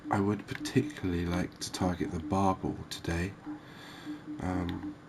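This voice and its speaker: Slow, low-pitched man, flat and gentle. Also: creaky, noisy background. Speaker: gaming video host. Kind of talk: soft-spoken ASMR game commentary.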